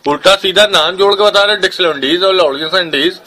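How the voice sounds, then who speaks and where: A man talks on a casual phone call in a sharp, forceful voice that sits a little high. He is a shop worker, and his talk is colloquial, slangy and quick to argue. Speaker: pharmacy worker taking a phone order.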